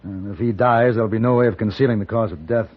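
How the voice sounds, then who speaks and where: An actor playing a calm, protective, commanding hired gunfighter in an old radio western. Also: male voice, fairly low pitch, quick pace, close to an everyday voice. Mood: grave. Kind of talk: 1950s radio western acting. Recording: dull, noisy old radio recording.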